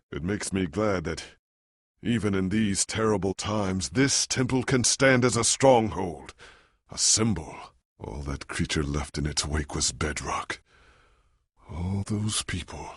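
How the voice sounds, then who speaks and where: A very low-voiced heroic adventurer, solemn and earnest, speaking with formal gravity for a video game. His husky, rasping tone moves through wide dramatic swings of pitch. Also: dry recording, clean studio sound, full character voice. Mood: somber. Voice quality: gravelly, husky. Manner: grave, formal, dramatic delivery. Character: noble ageing adventurer hero, solemn and earnest.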